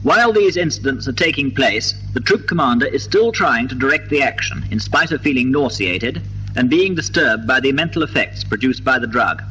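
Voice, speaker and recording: Formal British film narrator, bright and lively-pitched. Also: male voice, faint music behind, rough recording. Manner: formal, precise, measured. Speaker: documentary narrator. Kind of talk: scripted 1950s film narration. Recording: old film soundtrack with hiss and hum.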